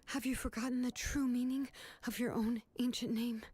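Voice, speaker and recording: Firm, earnest young heroine. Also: female voice, a little high, little pitch movement, moderate pace, a little mellow, slightly rough. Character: calm, firm young woman confronting a grieving aunt.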